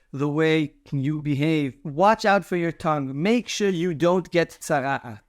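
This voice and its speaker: A man's voice: medium pitch, smooth, no room sound, studio quality. A man speaking as a rabbi, giving a short explanatory Torah teaching with emphatic repetition.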